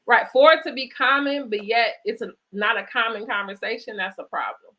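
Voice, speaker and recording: A woman hosting a conversational interview, speaking reflectively in halting phrases and often checking in with "right?" or "you know". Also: fairly high pitch, sharp tone. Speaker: host interviewing an author. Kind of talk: conversational interview at a virtual book event.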